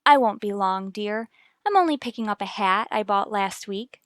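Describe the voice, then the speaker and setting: Actress as a smooth-voiced, breezy radio mother. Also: mid-range voice, moderate pace, a bit bright, no echo, studio quality, natural voice. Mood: soothing, breezy. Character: breezy, genteel young mother of a small boy. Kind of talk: mid-century radio soap opera acting.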